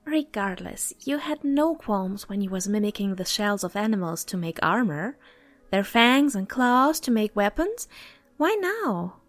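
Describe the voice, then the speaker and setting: A woman's voice: medium pitch, big pitch swings, no room sound, soft background music. A woman acting a composed, reasoning goddess of life and death in a fantasy audio drama, articulate and challenging. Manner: articulate, challenging, measured wording.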